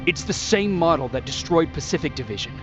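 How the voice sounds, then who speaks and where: An actor playing a terse, earnest resistance soldier in a video game. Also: male voice, a little high, expressive, a little fast, over music, lightly acted. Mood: puzzled. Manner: terse, questioning. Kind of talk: video game cutscene acting.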